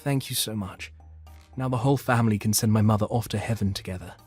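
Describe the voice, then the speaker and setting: A man's voice: fairly low pitch, fast, a little mellow, slightly rough, soft background music. A man playing an earnest, bereaved son with strong convictions in a comic dub. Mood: tearfully grateful. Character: earnest bereaved son, grateful and righteously indignant.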